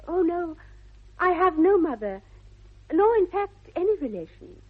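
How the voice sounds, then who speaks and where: An actress playing a sweet, prim, self-possessed young girl with an upper-class English accent and a soft, breathy voice, demurely formal in a 1960s radio comedy of manners. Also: extremely high pitch, big pitch swings, measured pace, exaggerated voice. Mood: sweet, polite. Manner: demure, polite, carefully formal. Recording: dull vintage 1960s radio recording.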